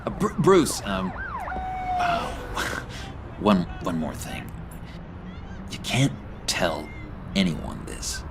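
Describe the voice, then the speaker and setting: A man playing an eager, unstable, childlike misfit in a video game, stammering and excitable. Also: medium pitch, drawn-out words, long pauses, noisy background, heavily acted. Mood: bashful, nervous. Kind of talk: video game character acting.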